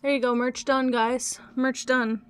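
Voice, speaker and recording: A young woman with a hoarse throat, chatting casually and swearing while she streams and sketches. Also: sits high, level tone, unhurried, faint music behind. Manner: swearing, talkative, complaining. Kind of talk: casual livestream chat while drawing.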